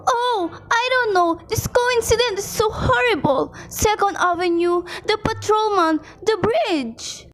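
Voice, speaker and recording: A woman's voice: extremely high pitch, unhurried, hardly any pauses, a bit bright, rough recording. A woman playing an agitated, imperious invalid housewife in an amateur radio drama, insistent and indignant. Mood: fretful, demanding. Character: panicky, imperious, demanding invalid housewife, shrill and impatient.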